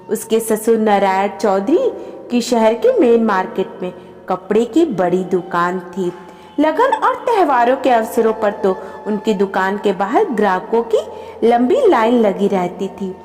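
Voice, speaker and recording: A woman's voice: a little high, sing-song, measured pace, a little mellow, in a large hall, soft background music. A woman narrating a scripted family story as a storyteller.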